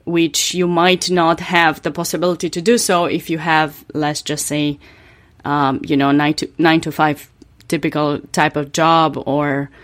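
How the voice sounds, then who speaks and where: Female guest, Romanian-accented English, lower pitch, thoughtful and hesitant delivery. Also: flat delivery, measured pace. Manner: thoughtful, hesitant, many ums and restarts. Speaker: guest, chess grandmaster and coach. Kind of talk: interview conversation.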